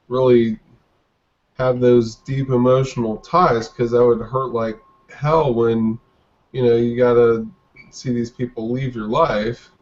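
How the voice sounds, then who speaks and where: Slow, even-toned man, muffled audio. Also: mid-range voice. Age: 40s. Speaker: podcast guest, artist. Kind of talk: casual interview talk.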